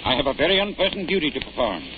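An actor in 1940s radio drama playing a stern district supervisor, formal, officious and dismissive. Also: male voice, fairly high pitch, big pitch swings, very fast. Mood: grave, officious. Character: stern, pompous district supervisor of a store chain. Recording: tinny, very noisy 1940s radio recording.

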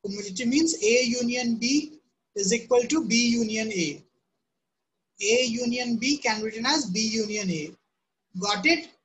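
A woman's voice: on the high side, normal speed, rough recording. A woman teaching a live online math class, often asking "right?" and "okay?" to check on students. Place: home room.

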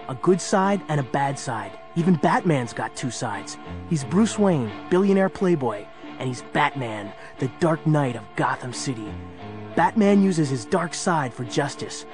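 Higher-pitched male story narrator. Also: a little mellow. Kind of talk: dramatic scripted storytelling narration.

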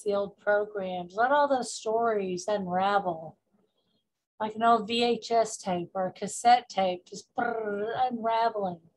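A woman with a mid-pitched voice leads a guided meditation like a spiritual coach. She gives instructions deliberately, in short repeated phrases, leaving space between them as she moves through affirmations. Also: rough recording.

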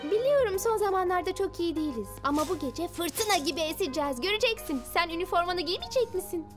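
A woman's voice: very high-pitched, lively intonation, fast. A woman voicing an eager teenage monster cheerleader girl in a dubbed cartoon, perky and encouraging. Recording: music behind the voice.

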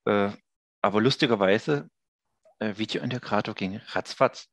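A man's voice: on the low side, measured pace, no room sound. A man in his thirties chatting casually as an interview guest over a headset. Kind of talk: casual chat. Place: indoor office room, video call.

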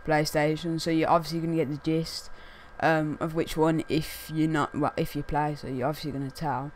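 A young man with a croaky, hoarse voice, chatting casually to camera as a gaming video host and rambling with lots of fillers. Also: a little high, flat delivery, moderate pace, slight background noise. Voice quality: croaky, losing his voice. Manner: rambling, many fillers like "what's it called". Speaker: gaming YouTuber.

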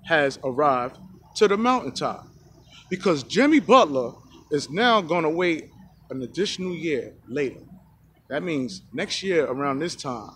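A man in his forties vlogging outdoors into a phone held at arm's length, giving excited, preachy sports opinions and calling out "y'all" to his viewers. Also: high voice, slight background noise. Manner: hyped, preachy, keeps saying "y'all". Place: outdoors by a rocky hill in a park. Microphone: phone selfie camera at arm's length.

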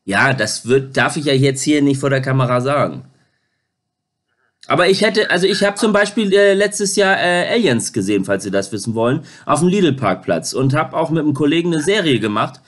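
Man in his thirties, slightly high voice, hesitant, repetitive, informal phone interviewer. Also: big pitch swings. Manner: halting, hesitant, repeats words. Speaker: YouTuber making a phone call on camera. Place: home kitchen, indoors.

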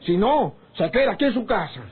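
Domineering rustic Mexican husband, high-pitched, tinny. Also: male voice, animated, a little fast, husky, exaggerated voice. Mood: bossy, irritated. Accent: rural northern Mexican. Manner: bossy, folksy rustic speech. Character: domineering, hot-tempered rural peasant husband, jealous and menacing. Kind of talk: old Mexican radio drama acting. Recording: tinny, band-limited old radio serial.

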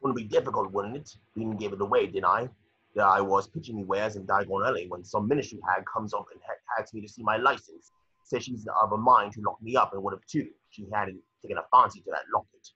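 A man's voice: lowish voice, fairly even intonation, brisk, pushed and bright, slightly rough, heavily acted. A man voicing a shifty, indignant street thief with a grumbling, defensive manner. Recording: muffled video-call audio.

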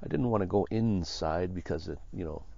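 A man casually narrating his walk through abandoned houses, easygoing and halting, with frequent chuckles. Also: deep voice, gravelly, highs cut off, heavy background noise. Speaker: vlogger. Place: outdoors in a rural ghost town and inside abandoned houses.

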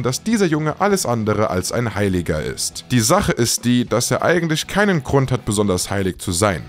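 Guy narrating a countdown list, really animated delivery, bit of music under it. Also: mid-range voice. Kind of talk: scripted narration.

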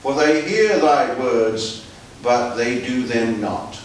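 Slow, echoey man reading scripture. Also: medium pitch, animated. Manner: solemn, measured. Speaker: priest reading scripture lesson. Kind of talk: formal liturgical scripture reading. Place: small church, standing at lectern. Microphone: distant camera microphone. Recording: echoey church, distant mic, faint hiss.

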